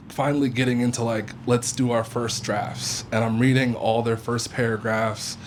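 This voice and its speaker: A young man with a loud voice, a writing teacher giving a loose, chatty reflection to a gathering in someone's home. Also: medium pitch, a little hiss or hum. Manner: loose, chatty, many "like" fillers. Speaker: creative writing teaching fellow. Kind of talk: casual spoken reflection to a live gathering. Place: living room of a private home.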